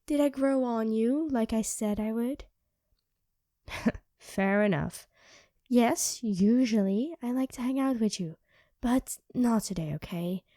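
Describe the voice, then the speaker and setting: A woman voicing an irritable young female vampire who craves blood, speaking in a sharp, sarcastic way and often dropping to a whisper. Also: a little high, takes it slowly, pauses often, no room sound, clean studio sound. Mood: evasive. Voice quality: drops into soft whispers. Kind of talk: ASMR roleplay.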